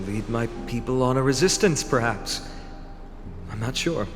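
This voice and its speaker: A man's voice: medium pitch, lively intonation, unhurried, big-room sound, close to an everyday voice. Earnest young hero, played by a man, music behind. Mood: earnest, thoughtful. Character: earnest, loyal young male hero and comrade. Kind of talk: video game dialogue acting.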